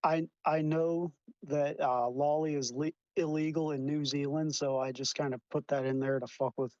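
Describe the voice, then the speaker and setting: A man's voice: on the high side, fairly even intonation, moderate pace, a little mellow, no room sound, lo-fi sound. A man in an online voice chat argument, stammering and restarting as he defends himself. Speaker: debate participant defending himself.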